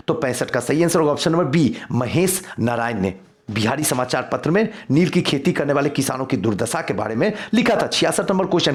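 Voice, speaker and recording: A man teaching an exam quiz lesson, reading out questions and repeating key answers for emphasis. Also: fairly high pitch. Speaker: history teacher for civil service exam coaching.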